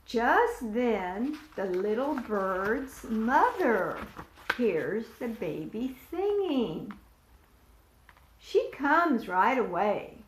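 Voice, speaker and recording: Slow, lively woman reading aloud. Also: fairly high pitch, a little hiss or hum. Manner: asks young listeners questions and points to pictures. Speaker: volunteer storyteller. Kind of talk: picture-book read-aloud for preschoolers. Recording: echoey room.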